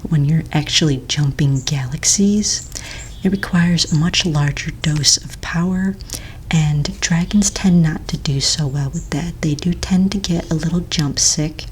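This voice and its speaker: A woman speaking in a soft, breathy near-whisper, playing a courteous, patient office manager in a gentle ASMR roleplay. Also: deep voice, a little slow, slight background noise. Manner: gentle, polite, reassuring clerical patter.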